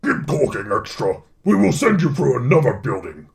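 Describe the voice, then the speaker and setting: A man voicing a menacing, brash and sarcastic alien symbiote monster with a growling, gravelly voice, in a narrated story. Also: medium pitch, sing-song, normal speed, recorded from a distance. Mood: threatening.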